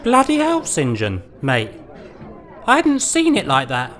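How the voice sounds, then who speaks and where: A man's voice: high voice, huge pitch swings, measured pace, fairly bright tone, some chatter behind, pitched above the performer's own voice, heavily acted. A man voicing a brash, crude young lad who swears and says mate a lot. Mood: tearful.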